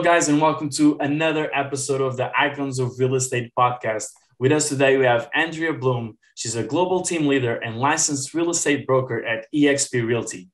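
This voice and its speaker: Podcast host in a roomy headset call. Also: male voice, medium pitch, fairly bright tone. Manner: upbeat, repeats words when asking. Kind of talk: interview hosting. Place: indoor room. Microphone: headset on a video call.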